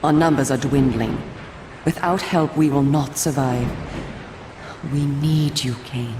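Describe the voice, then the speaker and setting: An actress voicing a solemn, coolly persuasive female vampire resistance agent in a video game, speaking in a formal, measured, theatrical way. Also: very deep voice, reverberant, background noise. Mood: earnest, grave. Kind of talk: scripted video game cutscene acting.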